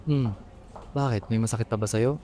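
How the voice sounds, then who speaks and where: A man's voice: mid-range voice, steady tone, a little fast, natural voice. A young man with a Filipino accent playing a weary, resentful eldest son who supports his family, earnest and emotional, in an amateur radio drama. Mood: caring. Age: young adult.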